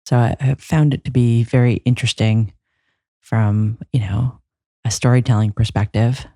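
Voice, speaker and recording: Woman trial lawyer, podcast guest. Mellow, dry, close-miked. Reflective, stop-start delivery. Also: extremely low pitch, steady tone, moderate pace, no room sound. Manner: reflective, many restarts and fillers. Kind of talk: conversational interview. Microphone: close microphone.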